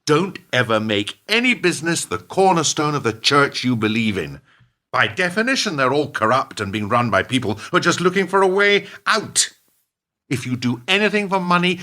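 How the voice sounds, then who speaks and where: Ruthless middle-aged businessman for radio play, male actor, smug and speechifying, very animated delivery, sharp-edged tone. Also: medium pitch. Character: ruthless, self-justifying businessman betraying his lifelong partner.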